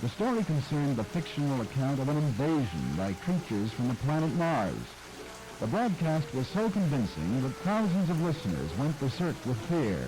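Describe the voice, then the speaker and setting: A man giving scripted documentary narration in a measured, articulate manner. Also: medium pitch, rough recording.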